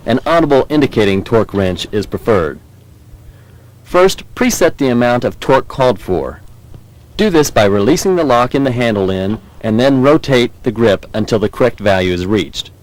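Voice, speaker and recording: An American man reading scripted training film narration in a measured, formal, instructional manner. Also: medium pitch, darkish tone, no room sound, poor audio. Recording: 1990s VHS training film soundtrack.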